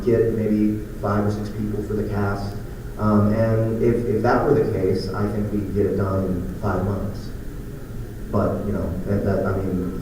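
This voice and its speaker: Male company head, casual live panel answers, deepish voice, off-mic with clear room noise. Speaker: audio production company head, presenter. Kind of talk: casual conference Q&A. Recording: echoey room, distant, noisy.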